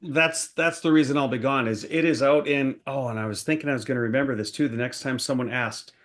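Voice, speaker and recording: A middle-aged or older man talks casually as a pastor co-hosting a chat show. His voice has an average pitch, and his delivery is conversational. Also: fast. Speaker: pastor, podcast co-host.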